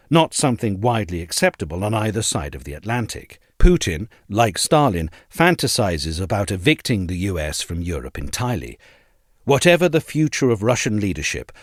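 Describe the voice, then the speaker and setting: A man reading a scripted essay aloud as narrator, in a measured, articulate and formal way. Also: on the low side, dry recording, clean studio sound.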